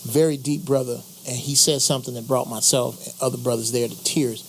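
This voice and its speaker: Male panelist, animated storytelling, faint background hiss. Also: mid-range voice, brisk, rough recording. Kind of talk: talk show discussion.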